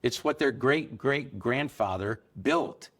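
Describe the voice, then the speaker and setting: Man, middle-aged, guest on a business interview, anecdotal, mid-pitched voice. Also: takes it slowly, fairly bright tone. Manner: explanatory, anecdotal, with occasional laughs. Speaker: gold business co-founder, guest. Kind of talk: conversational interview answers.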